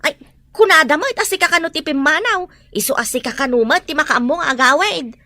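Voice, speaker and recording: A woman playing a nosy, excitable household nanny in an Ilocano audio drama. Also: high-pitched, fairly quick, no echo, full character voice. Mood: flustered.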